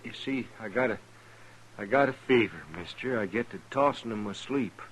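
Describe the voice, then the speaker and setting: An actor playing an ailing, wary frontier husband in a radio western, speaking in a folksy, halting way, weak with fever. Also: male voice, fairly low pitch, close to an everyday voice. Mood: weary, coaxing. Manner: folksy, halting rural speech. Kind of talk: 1950s radio western acting. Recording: noisy vintage 1950s radio recording.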